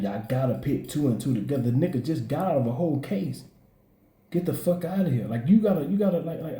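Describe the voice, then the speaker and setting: A man's voice: a little high, dark tone, echoey room. A man with a Southern accent, rambling in profane street slang as he gives casual commentary on his vlog. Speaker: blogger.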